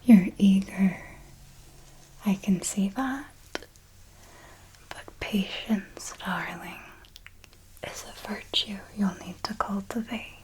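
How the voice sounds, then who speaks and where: A woman playing an elegant vampire mentor in a whispered ASMR roleplay, formal and deliberate, possessive, teasingly menacing and protective, with a breathy delivery. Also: mid-range voice, darkish tone, natural voice, low-quality recording. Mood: teasing menace. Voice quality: breathy, mostly unvoiced whisper. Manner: slow, deliberate, formal, with measured pauses.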